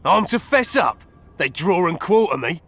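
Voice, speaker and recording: Bright-voiced, coarse thug. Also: male voice, high-pitched, very animated, moderate pace, faint music behind, full character voice. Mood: indignant. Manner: crude, blunt, colloquial. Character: coarse, foul-mouthed male hired thug, cocky and defiant. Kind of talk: video game voice acting.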